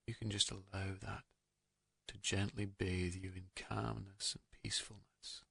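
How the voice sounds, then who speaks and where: A man narrating a guided meditation in a calm, gentle, soothing manner, leaving unhurried pauses between soft phrases. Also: low voice, gravelly, husky, no echo.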